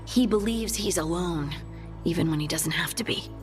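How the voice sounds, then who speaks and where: A woman's voice: on the low side, normal speed, soft background music, natural voice. A woman playing an earnest, compassionate companion in a video game, speaking with heartfelt hope. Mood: earnest, pleading. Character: earnest, hopeful female companion, compassionate believer in redemption. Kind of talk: dramatic video game dialogue.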